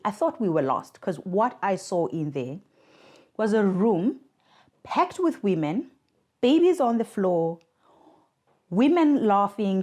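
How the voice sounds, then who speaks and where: A woman's voice: medium pitch, a little mellow. A South African woman in her thirties, a podcast guest telling her own story in an earnest, reflective way over a remote connection. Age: 30s. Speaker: guest, communications worker for a mothers' charity. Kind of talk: podcast interview, personal story. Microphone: remote recording.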